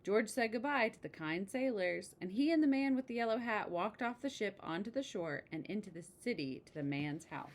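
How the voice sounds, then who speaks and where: A woman reading a children's picture book aloud in an expressive way, with casual asides. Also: medium pitch, moderate pace, hardly any pauses. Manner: expressive, exclaiming story delivery. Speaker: storybook reader.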